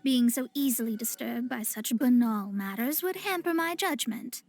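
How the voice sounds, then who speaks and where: A haughty young woman astrologist from a video game. She speaks in a high, formal, precise voice, proud but easily flustered. Music plays faintly underneath. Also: normal speed, darkish tone. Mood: defensive haughty.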